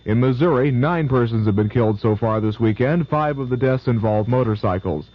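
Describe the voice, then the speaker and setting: A man reading local news headlines on the radio in a steady, plain way. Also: medium pitch, a little fast. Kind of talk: newscast reading. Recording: muffled 1970s AM radio.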